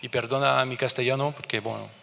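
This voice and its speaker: A halting French Canadian man speaking in a reverberant conference hall. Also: medium pitch, fairly even intonation, vocal fry, sharp tone, slight background noise. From France and Canada. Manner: halting, searching for words, many restarts. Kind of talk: conference presentation.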